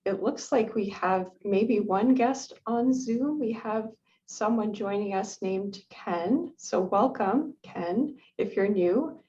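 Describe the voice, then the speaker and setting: A woman's voice: mid-range voice, slow pace, dark tone. Friendly woman chairing a meeting, muffled lo-fi audio. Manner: friendly, welcoming. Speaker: club president. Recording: dull lo-fi sound.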